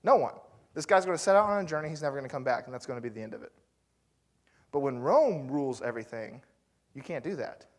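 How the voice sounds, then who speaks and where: A man's voice: mid-range voice, expressive, quick pace. A man preaching a sermon as pastor, telling the story in a loose, conversational way with fillers and asides to the congregation.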